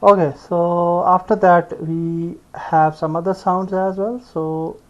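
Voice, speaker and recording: Man, software tutorial instructor, very slow halting delivery, thinking aloud, muffled lo-fi recording. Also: high-pitched, flat delivery. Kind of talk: unscripted step-by-step screencast tutorial. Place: indoors, screen-recording voice-over. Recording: dull lo-fi voice recording.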